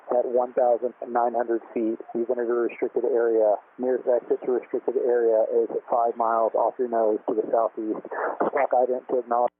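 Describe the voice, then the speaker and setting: A man working as a military air defense controller gives formal, procedural warnings in clipped aviation phraseology over the radio. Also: mid-range voice. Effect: aviation radio transmission. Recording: tinny, noisy aircraft radio channel.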